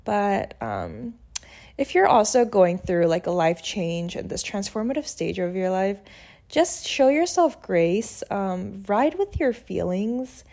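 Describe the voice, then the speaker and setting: Young woman hosting her own podcast, native Korean speaker; relaxed, open, chatty delivery. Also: mid-range voice, slow, gravelly. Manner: casual, candid, many fillers and "like". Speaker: podcast host. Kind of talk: solo reflective podcast monologue.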